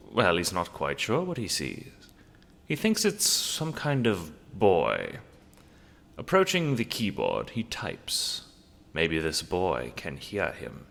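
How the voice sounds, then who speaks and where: A male storyteller delivers scripted drama narration with theatrical deliberation. His gravelly voice has a forceful edge and wide, animated intonation, and it rings in a long hall-like reverb. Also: deep voice.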